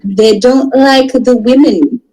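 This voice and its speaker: A woman's voice: a little high, slow pace, crowd noise, rough recording. A woman on a podcast panel chatting casually, in a playful, informal way that often interrupts itself. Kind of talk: casual chat.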